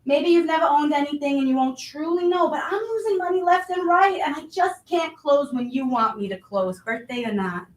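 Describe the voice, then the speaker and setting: A woman's voice: high voice, normal speed, few pauses, lightly acted. A woman playing a blustery, money-minded restaurant boss in a staged reading of a play. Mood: bossy, scolding. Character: pushy, money-minded restaurant boss, self-pitying and scheming. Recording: roomy, distant microphone.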